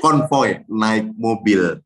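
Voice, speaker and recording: Slow-speaking Indonesian priest. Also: male voice, lowish voice, lively intonation, fairly bright tone. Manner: joking, laughs often, recites rhyming verses. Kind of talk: relaxed devotional chat with rhymes and a hymn.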